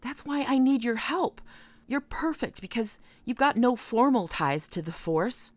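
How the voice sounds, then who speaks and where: Earnest police chief, very expressive. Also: female voice, fairly high pitch, a little slow, no echo. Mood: worried. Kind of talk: contemporary radio drama acting.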